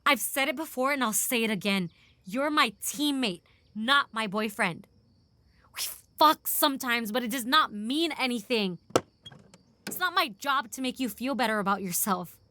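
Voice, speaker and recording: A woman's voice: on the high side, expressive, moderate pace, background noise, lightly acted. A woman acting a defiant, bitter operative in an audio drama, blunt, scolding and unsentimental. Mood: bitter, angry.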